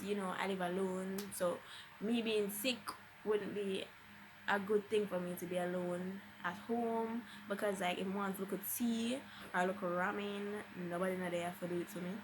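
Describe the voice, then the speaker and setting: A woman's voice: medium pitch, noisy background. A young woman with a Jamaican accent tells a personal story casually and dramatically, slipping into Patois and acting out what people said. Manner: dramatic, acts out quoted dialogue. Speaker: vlogger telling a personal story.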